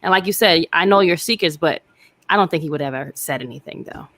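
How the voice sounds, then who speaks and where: A woman co-hosting a review podcast, with a voice a little lower than most women's. She talks in a relaxed, meandering way, leaning on "like" and "you know". The sound is close and dry. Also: fast talker, no echo. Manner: laid-back, lots of "like" and "you know", laughs easily. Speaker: podcast co-host. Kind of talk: casual review chat.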